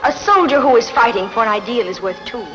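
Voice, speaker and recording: A woman's voice: fairly high pitch, lively intonation, fast talker, highs cut off, faint music behind, close to an everyday voice. A woman playing an imperious, ruthless queen in a film dub, with a commanding regal delivery. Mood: regal, assured. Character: imperious, ruthless queen pining for lost lover.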